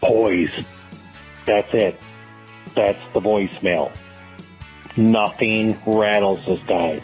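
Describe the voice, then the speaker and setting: A male fan leaves clipped sports comments on a voicemail. He speaks slowly in a low voice, with faint music behind him. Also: a little mellow, low-quality recording.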